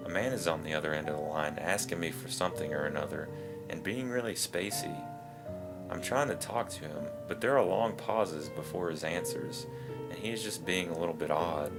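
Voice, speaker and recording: A man's voice: low-pitched, normal speed. A man reads scripted first-person creepy stories as a narrator. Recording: music behind the voice.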